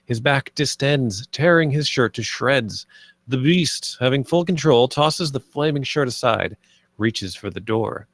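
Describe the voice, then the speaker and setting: A man narrates the action of a film script at a table read. His voice is mid-pitched for a male, in a very dry recording with scripted phrasing. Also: expressive, measured pace, no echo. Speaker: narrator reading stage directions.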